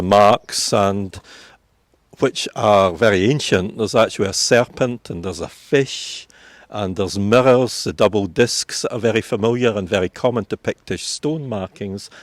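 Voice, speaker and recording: A Scottish man working as a tour guide, giving an informative, unhurried commentary with frequent fillers while walking outdoors through a village churchyard. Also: lowish voice, no echo. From Scotland.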